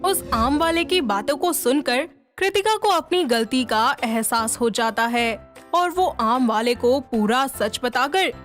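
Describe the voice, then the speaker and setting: Woman narrator for a kids style moral story, high voice, soft music behind. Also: lively intonation, slow pace. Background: faint music.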